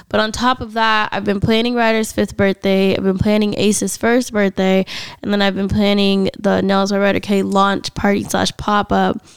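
Young woman hosting a podcast, chatty and laughy, bit of gravel in her voice, close dry sound. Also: medium pitch, no echo. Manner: chatty, laughing, swears freely. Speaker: podcast co-host. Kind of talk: casual podcast chat.

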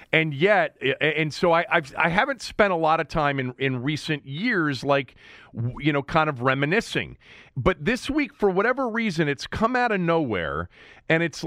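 A man's voice: medium pitch, a bit bright, no room sound, clean studio sound. A middle-aged or older man from the Washington, D.C. area hosting a casual sports interview in a rambling, conversational way. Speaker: sports talk host.

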